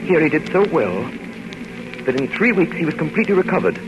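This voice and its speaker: An actor playing an earnest asylum doctor, narrating formally and dramatically in 1930s radio drama style on an old, noisy recording. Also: male voice, on the high side, sing-song, brisk, dull audio. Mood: grave, formal.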